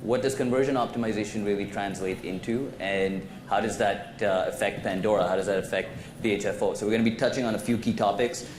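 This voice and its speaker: Casual low-voiced male moderator, echoey hall. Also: steady tone, fast, hardly any pauses. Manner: casual, many fillers and restarts. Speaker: panel moderator. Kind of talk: conference panel moderation. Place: conference hall stage. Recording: echoey hall, faint noise.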